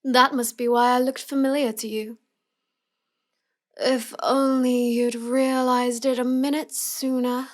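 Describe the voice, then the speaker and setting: A woman performs a young villainess for a roleplay audio, cute yet manipulative. Her voice sits a little high and she speaks slowly, savoring each smug, teasing line as she talks straight to the listener. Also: little pitch movement. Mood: smug, gloating. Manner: slow, smug, taunting delivery.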